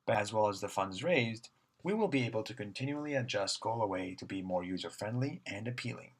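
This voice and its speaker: A young man delivers a scripted pitch in a steady, read-aloud way. His voice sits a little low and comes out husky. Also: a little fast. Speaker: student pitching a project.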